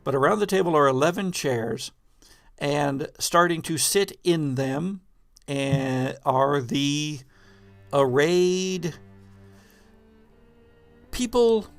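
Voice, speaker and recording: A man narrating an improvised scene as game master of a tabletop horror game, with halting, deliberate descriptive phrasing. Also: a little high, lively intonation, faint music behind.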